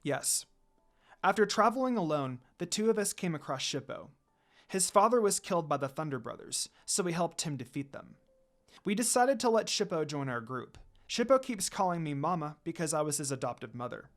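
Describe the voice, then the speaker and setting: An earnest young priestess in a storybook tale, read by a man with a slightly high voice. She is polite and respectful as she explains herself. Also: sing-song, normal speed, fairly bright tone, soft background music. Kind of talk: storybook character reading.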